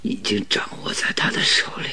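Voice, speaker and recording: An actor playing a gravely ill, stubborn detective in a radio drama, with a weak, breathy, halting voice. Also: male voice, pushed and bright. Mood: laboured pleading. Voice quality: breathy, raspy, weak whisper. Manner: halting, laboured, pleading.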